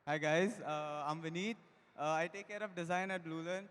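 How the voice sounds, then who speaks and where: A man's voice: a little high, moderate pace, fairly bright tone, smooth. A man in his twenties from India with an Indian accent, casually addressing an audience as a panelist. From Pune, India. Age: 20s. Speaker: startup designer, panelist. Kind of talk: casual self-introduction. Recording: echoey event hall.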